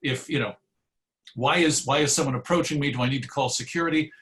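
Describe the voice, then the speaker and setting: A man's voice: medium pitch, fast talker, a bit bright. A man speaking as an interview guest, explaining history in a reflective, discursive and conversational way. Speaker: guest, old-time radio host and historian.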